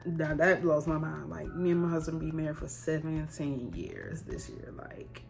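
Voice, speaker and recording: A woman's voice: deep voice, steady tone, moderate pace, creaky, faint music behind. A woman in her thirties talking candidly and conversationally in a personal vlog, repeating words for emphasis. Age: 30s. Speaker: vlogger. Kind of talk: casual personal vlog to camera.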